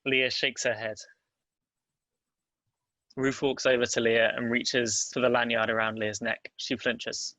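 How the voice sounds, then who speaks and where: Bright-voiced man narrating flatly, close and dry. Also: mid-range voice, unhurried, pauses often, dry recording. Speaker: narrator reading stage directions. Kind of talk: scripted narration at a table read.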